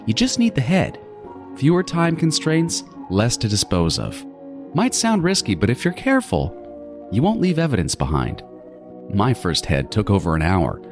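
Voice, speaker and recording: A man's voice: medium pitch, huge pitch swings, music in the background. A man playing a meticulous, gloating serial killer in a horror audio drama, savoring his words in a theatrical monologue. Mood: gleefully instructive. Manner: theatrical, savoring, deliberate phrasing with sudden swings.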